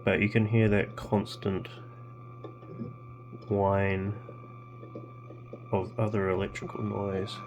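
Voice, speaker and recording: Low, slow, gravelly New man. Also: flat delivery, a little mellow, slight background noise. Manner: halting, thinking aloud. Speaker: host of electronics tinkering videos. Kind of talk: casual hands-on workbench narration.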